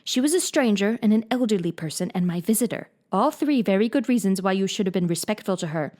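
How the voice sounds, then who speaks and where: A woman's voice: mid-range voice, expressive, fast, a little mellow, close to an everyday voice. A woman voicing a strict middle-aged guardian who speaks severely and dryly. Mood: stern. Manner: severe, dry.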